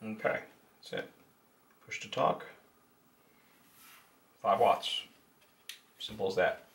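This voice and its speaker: Slow talking guy doing a casual how-to at his bench, halting little phrases, mic far off w/ noise and clicks. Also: deep voice, gravelly. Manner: halting, muttered step-by-step remarks while working with hands. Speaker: hobbyist demonstrator. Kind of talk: casual hands-on tutorial. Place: indoors at a tabletop workbench.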